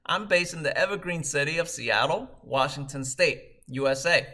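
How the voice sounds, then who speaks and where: A man in his thirties, originally from Vietnam, delivering a measured, scripted video message as a company co-founder. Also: on the high side, sharp tone. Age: 30s. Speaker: startup co-founder and CEO.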